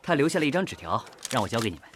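Casting: man as courteous waiter, rapid speech, radio drama scene with clear noise behind. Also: medium pitch, very animated, natural voice. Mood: polite. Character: polite, quick male restaurant waiter.

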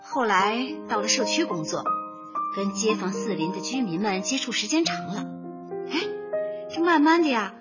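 A woman's voice: mid-range voice, lively intonation, normal speed, close to an everyday voice. An actress playing an earnest, cheerful young woman who runs a community office, coaxing tactfully in a light radio comedy. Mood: earnest, explaining. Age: young adult. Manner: eager, coaxing, speechifying in slogans. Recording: dull lo-fi audio with music behind.

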